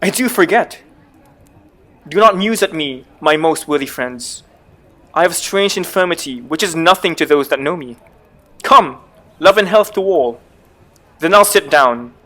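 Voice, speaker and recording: A man's voice: a little high, lively intonation, unhurried, pauses often, bright, forceful tone, exaggerated voice. An actor playing a guilt-haunted, raving king in a dramatic reading of Shakespeare. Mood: courteous, then forced cheer.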